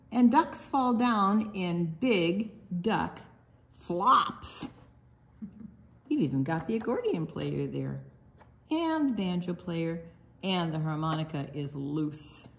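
An older woman reading a children's picture book aloud in an expressive, deliberate sing-song way, with small asides to her young listeners. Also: on the low side.

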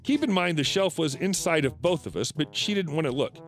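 A man narrating reddit retail stories aloud, reading in a lively, animated way. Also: a little fast, faint music behind. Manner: lively, animated reading with shifting pitch for quoted customers. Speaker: story narrator.

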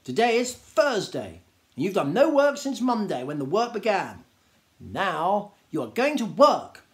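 A man's voice: sits high, big pitch swings, unhurried, pitched above the performer's own voice, heavily acted. A man voicing a lofty, commanding desert djinn from a children's storybook, emphatic and theatrical in his scolding. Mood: stern, triumphant.

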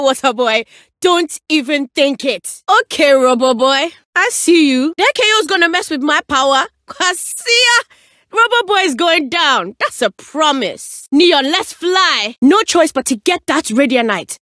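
Playful high-voiced Ghanaian game heroine. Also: female voice, dry recording, studio quality, full character voice. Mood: teasing warning, then defiant, then focused, encouraging. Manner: teasing, animated, slangy. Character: playful, confident young woman with cosmic powers. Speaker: video game character. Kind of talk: game voice lines, combat callouts.

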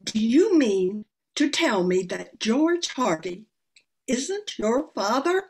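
A woman's voice: a little high, very animated, slow pace, a little mellow, husky, exaggerated voice. An older woman playing a meddling, proper old aunt with an earnest, moralizing manner in an amateur radio play. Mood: indignant. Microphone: computer on video call.

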